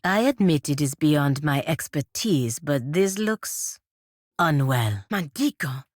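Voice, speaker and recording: An actress voicing a proud, stern, dutiful woman knight and envoy in a video game, speaking in a formal, measured, dry manner. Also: low-pitched, dry recording. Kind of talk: video game character dialogue.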